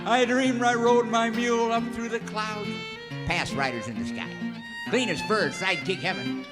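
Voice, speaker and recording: Guy doing a high pitched old cowboy camp cook, folksy and excitable, rambling radio western comedy, loud string music under it. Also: lightly acted. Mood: wistful, storytelling. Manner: folksy, rambling, drawn-out tall-tale telling. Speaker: comic sidekick character in radio show. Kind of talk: comic Western radio storytelling, performed live.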